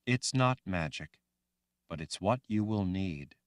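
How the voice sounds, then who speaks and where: A man voicing a gentle, soothing motherly woman spirit, speaking softly in an audiobook reading. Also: deep voice, expressive, takes it slowly, clear, resonant tone, no echo, studio quality.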